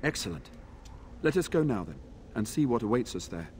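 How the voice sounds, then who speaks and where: An actor playing a dutiful, earnest knight in a fantasy game, with formal wording. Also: male voice, medium pitch, big pitch swings, a little fast, slight background noise, natural voice. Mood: resolute.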